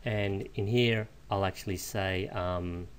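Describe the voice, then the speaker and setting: A man giving an informal, step-by-step software walkthrough, explaining as a screencast instructor. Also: sits low, steady tone, slow, smooth. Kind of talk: step-by-step screencast tutorial narration.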